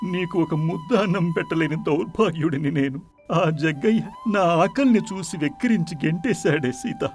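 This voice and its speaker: Guy playing broke desperate village husband, pleading, slightly high voice, dramatic story with music behind. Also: moderate pace, lightly acted. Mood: anguished despair. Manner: pleading, self-pitying. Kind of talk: melodramatic moral story voice acting.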